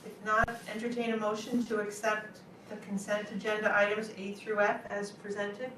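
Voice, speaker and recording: A woman's voice: medium pitch, completely flat delivery, normal speed, a bit bright. Woman chairing meeting, distant mic, roomy echo. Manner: plain, curious questioning. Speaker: school board president chairing meeting. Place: meeting room. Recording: distant echoey room mic, faint noise.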